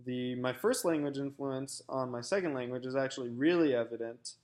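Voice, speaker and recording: A young American man, a graduate student, giving a recorded personal statement in a halting, thoughtful way with many fillers and restarts. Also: medium pitch, darkish tone. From United States.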